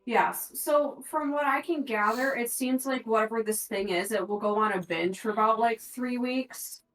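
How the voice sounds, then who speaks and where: A woman's voice: on the high side, moderate pace, a bit bright. A woman playing a tabletop game, talking through clues and reading handouts aloud, sometimes stumbling over words. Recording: roomy echo, distant from the mic.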